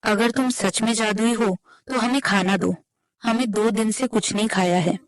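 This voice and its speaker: A woman's voice: fairly high pitch, fairly even intonation, normal speed, over music, lightly acted. A woman playing a poor, earnest village wife in a cartoon moral story. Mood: pleading.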